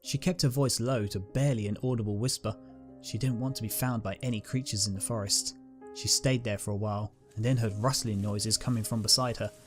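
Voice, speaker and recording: A man's voice: fairly low pitch, fairly even intonation, brisk. Male narrator of dramatic fiction, music behind. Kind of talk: dramatic scripted story narration.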